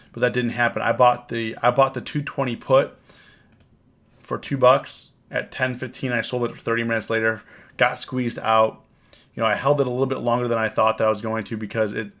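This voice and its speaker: A man with a cold, hoarse and rambling, recapping his trades on a casual live stream and often repeating himself. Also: mid-range voice, sharp tone. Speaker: options trading live streamer. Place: home, on camera.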